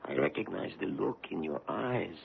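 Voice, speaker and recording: An actor playing a grave foreign man in a radio drama, speaking in formal, deliberate, intense phrases. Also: low voice, lively intonation, vocal fry, slight background noise, heavily acted. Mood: urgent warning. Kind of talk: old-time radio drama acting. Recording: tinny, band-limited vintage radio broadcast.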